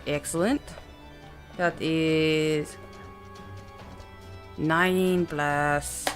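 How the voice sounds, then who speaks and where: A woman's voice: deep voice, steady tone, very slow. A woman playing a tabletop game, chatting casually in a playful, exclamatory way. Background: music.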